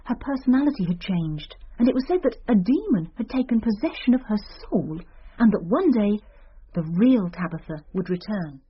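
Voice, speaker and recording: An actress playing a schoolteacher who shares local folklore in a confiding, storytelling manner in a radio drama. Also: female voice, mid-range voice, sing-song, normal speed, breathy edge, dull audio. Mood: confiding, hushed intrigue. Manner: conspiratorial, storytelling.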